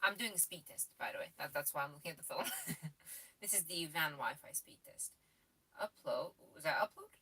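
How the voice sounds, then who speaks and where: A woman's voice: deep voice, lively intonation, fairly quick, bright, forceful tone, slightly rough, recorded from a distance. A young woman from Bulgaria with a Bulgarian accent, chatting on a live stream with many restarts and laughs. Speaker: co-host. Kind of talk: casual live stream chat.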